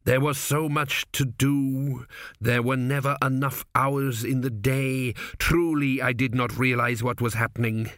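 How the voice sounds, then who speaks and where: A man voicing a very old high priest in an audiobook, calm, grave and measured, with quiet authority. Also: dry recording, clean studio sound. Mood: weary regret. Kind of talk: audiobook character voice.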